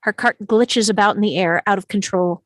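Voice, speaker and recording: A woman's voice: medium pitch, normal speed, dry recording, studio quality. A woman reading stage directions as the narrator of a live script table read.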